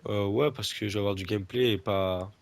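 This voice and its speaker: A young man with a stuffy, blocked nose talks in a lowish voice over gameplay. He rambles, restarts sentences and fills gaps with hesitations while teasing his friend. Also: fairly even intonation, a little fast. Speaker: gaming video creator. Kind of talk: casual gameplay chat with a friend.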